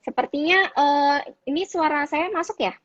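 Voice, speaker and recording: A woman's voice: sits high, normal speed, dull audio. A woman hosting a live online talk, chatty and mixing formal greetings with casual phrasing. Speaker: host.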